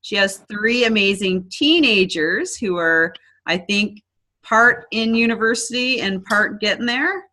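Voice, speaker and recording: A woman's voice: medium pitch, a little slow, pushed and bright, slight background noise. A woman hosting a casual online business presentation, chatty and upbeat, with frequent ums and restarts. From Canada.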